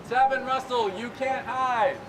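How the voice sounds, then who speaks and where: A man loudly leading call-and-response chants in a declamatory way at a street protest. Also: very high voice, fairly even intonation, a little slow, very noisy. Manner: loud, declamatory. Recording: outdoor street protest with crowd. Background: chanting crowd.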